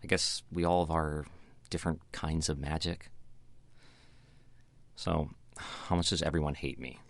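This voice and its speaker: An actor playing a chastened young theater manager in an audio drama, hesitant and halting. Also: male voice, rumbling low, fairly even intonation, no room sound. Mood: rueful.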